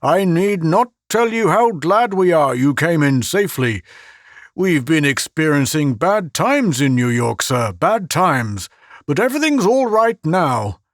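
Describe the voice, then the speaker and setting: A man's voice: a little high, big pitch swings, a little slow, dry recording, clean studio sound, pitched above the performer's own voice. A man voicing a jovial, pompous male official who chortles as he talks. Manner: chortling and hearty.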